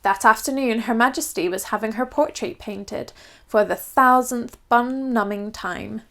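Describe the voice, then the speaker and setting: Female narrator for children's read-aloud, higher-pitched, expressive storybook delivery. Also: measured pace.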